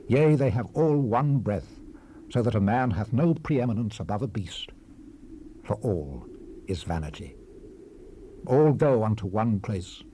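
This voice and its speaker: An actor playing a weary, brooding ancient preacher in a dramatized Bible reading, solemn, measured and declamatory, recorded on a hissy old cassette. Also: male voice, on the low side, dark tone. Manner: solemn, measured, declamatory, with falling phrase endings. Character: weary, brooding old-world preacher reflecting on life's vanity. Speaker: scripture narrator. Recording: hissy 1970s cassette rip.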